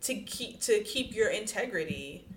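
A woman's voice: mid-range voice, lively intonation, normal speed, a bit bright, echoey room, slight background noise. A woman co-hosting a podcast, giving blunt, sassy, exasperated commentary in casual chat. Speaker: podcast co-host. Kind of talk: casual chat reacting to a post.

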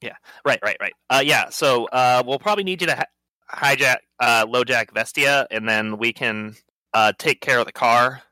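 A man chatting as a player in a tabletop roleplaying game, halting and full of restarts. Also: medium pitch, pushed and bright, dry recording, rough recording. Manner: hesitant, lots of fillers and restarts.

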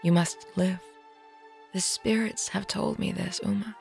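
A woman voicing a calm, wise elderly aunt with fangs, speaking in a hushed, breathy, soothing way. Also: medium pitch, a little slow, faint music behind. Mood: tender. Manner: soft, steady, soothing.

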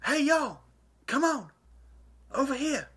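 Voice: high-pitched, sing-song, slow, a bit bright, exaggerated voice. A performer playing a hesitant, folksy male zombie survivor in an amateur audio drama, stammering and drawling, with faint noise behind. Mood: nervous.

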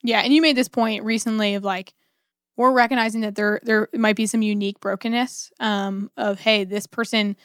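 A woman's voice: medium pitch, steady tone, normal speed, a bit bright, smooth, no echo. Earnest woman in dry, close conversation. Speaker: podcast co-host. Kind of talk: conversational religious discussion.

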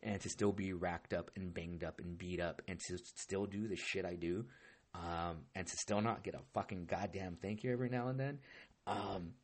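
Hoarse, deep, husky-voiced man host. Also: fairly even intonation, moderate pace. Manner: rambling and heavily profane, with frequent restarts. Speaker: podcast host. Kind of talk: casual solo podcast chat.

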